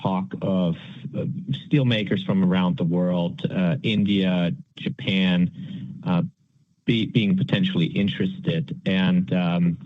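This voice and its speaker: Man, low pitch, courteous analyst, stop-start delivery with repeated words, dull lo-fi call audio. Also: little pitch movement, slow pace. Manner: very hesitant, stammering repeats, courteous. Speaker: financial analyst asking questions. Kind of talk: earnings call questioning.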